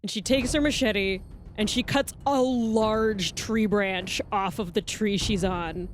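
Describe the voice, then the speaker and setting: A woman's voice: medium pitch, slow, slight background noise. A woman playing a tabletop game, describing her character's moves loosely and hesitantly, with lots of "like" and "kind of". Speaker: player. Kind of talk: casual tabletop play.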